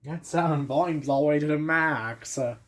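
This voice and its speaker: A man's voice: a little high, moderate pace, fairly bright tone. A man giving casual hands-on vlog narration as a hobbyist, muttering short, offhand remarks while setting up a device.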